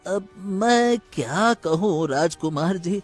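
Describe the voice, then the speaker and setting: A man's voice: high-pitched, expressive, slow pace, faint music behind, full character voice. A man playing a deferential royal minister in a cartoon fairy tale, speaking in a respectful, exaggerated way. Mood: puzzled. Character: deferential royal minister advising his young prince. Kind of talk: animated Hindi moral-story cartoon dialogue.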